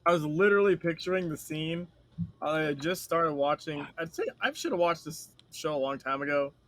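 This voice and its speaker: A man co-hosting a casual chat, telling stories in a rambling, animated way and swearing freely. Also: on the high side, moderate pace. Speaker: podcast co-host.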